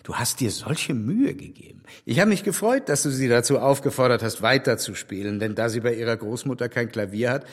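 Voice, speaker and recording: An actor playing an earnest, kindly older gentleman who speaks in a measured, gently reproving way. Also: mid-range voice, expressive, long reverb tail, close to an everyday voice. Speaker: gentleman advising the heroine. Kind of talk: radio drama acting.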